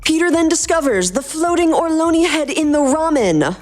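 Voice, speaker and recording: A woman's voice: high-pitched, big pitch swings, moderate pace, darkish tone, faint music behind. A woman reading scripted story narration expressively as a podcast host.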